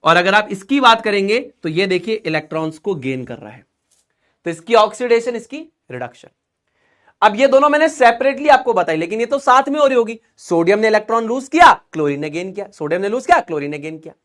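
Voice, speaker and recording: A young man teaching chemistry in a live class. He talks through each step in a relaxed, chatty way and keeps stopping to check that students are following along. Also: sits high, a little fast. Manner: chatty, asks checking questions. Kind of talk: online class lecture.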